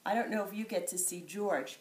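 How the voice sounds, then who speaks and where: An older woman, a grandmother, reading a picture book aloud to her grandchild with playful, expressive asides. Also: mid-range voice, fairly quick. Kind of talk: storybook read-aloud.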